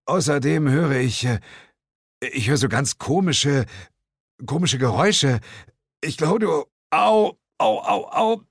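A man's voice: fairly high pitch, expressive, takes it slowly, creaky, no room sound, studio quality, pitched above the performer's own voice, heavily acted. A man voicing a boastful, whiny ghost hunter in a comic audiobook, stammering and melodramatic. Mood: pained, whining. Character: hapless, boastful male ghost hunter, mock-heroic and whiny.

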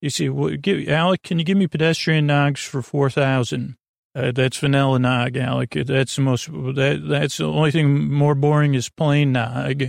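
A man hosting a bedtime podcast in a rambling, halting monologue, with a creaky voice, often repeating himself and trailing off. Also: mid-range voice, steady tone, dry recording, studio quality. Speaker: podcast host.

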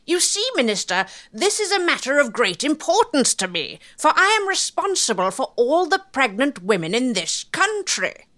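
A woman's voice: sits high, very animated, moderate pace, few pauses, a bit bright, pitched above the performer's own voice. A woman voicing an earnest, formal male senior doctor in a quoted line within her narration.

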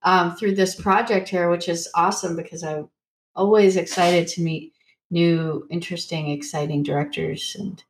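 A woman's voice: fairly low pitch, steady tone, moderate pace, poor audio. A woman documentary director as a podcast guest, effusive and self-deprecating in casual conversation. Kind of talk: casual interview.

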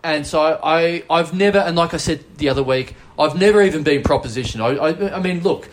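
A man's voice: a little high, a little fast, a little hiss or hum. A man with an Australian accent telling a long, rambling anecdote on a casual podcast, swearing freely and poking fun at himself. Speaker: podcast host. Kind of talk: casual storytelling chat.

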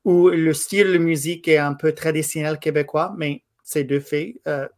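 A man in his thirties, a native English speaker from Quebec, giving a guest interview in a thoughtful, halting way. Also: on the high side, fairly even intonation. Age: 30s. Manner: thoughtful, halting, with frequent pauses and restarts. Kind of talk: casual interview conversation.

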